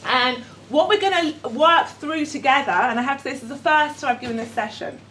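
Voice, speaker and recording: A woman's voice: high-pitched, lively intonation, fairly quick. London woman giving an echoey workshop talk. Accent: London English. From London, England. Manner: hesitant, many ums, warm and self-deprecating. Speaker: educator leading a workshop. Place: conference room with audience. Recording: echoey room, speaker far from mic, faint noise.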